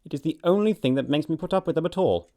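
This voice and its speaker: An actor playing a witty, flippant young upper-class English dandy with an arch, playful delivery, in an audio production of a stage comedy. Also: male voice, fairly high pitch, expressive, fast, dark tone. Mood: amused, flippant.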